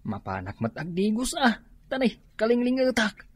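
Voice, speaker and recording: A man's voice: high voice, wildly swooping pitch, moderate pace, breathy edge, heavily acted. A man with an Ilocano accent playing a groggy, hungover drinking buddy in a comedy radio drama, whiny and hesitant. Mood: sheepish. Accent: Ilocano, northern Philippines.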